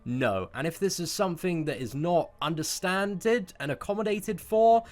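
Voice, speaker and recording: A man's voice: sits high, animated, normal speed. A young British man in casual, slangy storytime mode, talking to his viewers as a gaming video host with emphatic, sometimes sweary phrasing. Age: young adult.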